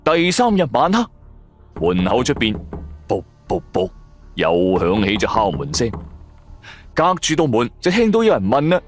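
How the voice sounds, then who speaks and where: Animated male horror storyteller. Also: mid-range voice, measured pace, pauses often, soft background music. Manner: suspenseful, expressive storytelling. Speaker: storyteller narrator. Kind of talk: dramatic scripted horror narration.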